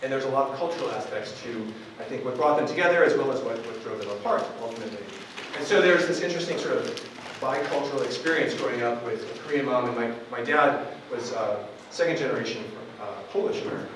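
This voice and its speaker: A man in his forties from Buffalo, New York, giving a relaxed public lecture as a professor, with frequent ums and restarts. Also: mid-range voice, fast, hardly any pauses. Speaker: university professor. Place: campus lecture hall. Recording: echoey hall from far off with noise.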